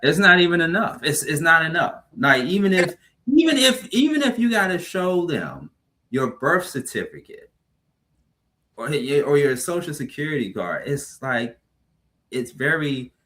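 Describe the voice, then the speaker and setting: A man's voice: on the high side, big pitch swings, unhurried, sharp tone. A man co-hosting a casual talk show, reacting and asking probing questions about feelings. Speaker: show co-host. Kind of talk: casual podcast chat.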